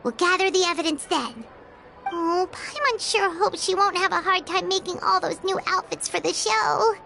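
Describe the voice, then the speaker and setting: A woman voicing a tiny, excitable female companion creature in a video game, who talks about herself in the third person. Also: very high voice, normal speed, vocal fry, background noise. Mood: chatty. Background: game music.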